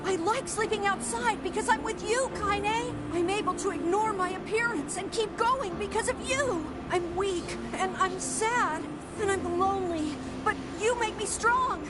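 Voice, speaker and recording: A woman's voice: very high-pitched, unhurried, few pauses. A woman playing a devoted, earnest young boy in a video game, pleading emotionally with his friend. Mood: tearful resolve. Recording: loud game music behind.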